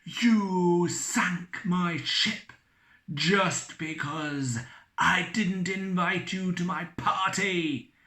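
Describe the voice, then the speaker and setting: A storyteller voicing a vengeful ghost in a slow, spooky whisper. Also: mid-range voice, bright, forceful tone, roomy sound, voice pushed up. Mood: menacing.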